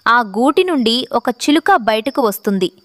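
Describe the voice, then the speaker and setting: A woman's voice: a little high, lively intonation, normal speed, no room sound. A woman reading scripted narration for a children's story.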